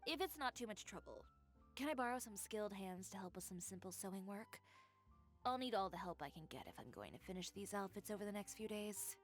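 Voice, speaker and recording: An actress playing a proud female fashion designer who speaks in a calm, composed, dryly sardonic way. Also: medium pitch, a little fast, soft background music, natural voice. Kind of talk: game voice acting.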